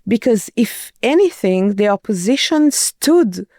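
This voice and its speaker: An older woman from Iran with an Iranian accent, answering interview questions in an earnest, measured way, often pausing with fillers. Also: medium pitch, expressive, a little mellow, dry recording, studio quality. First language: Farsi. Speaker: interviewee, writer and translator.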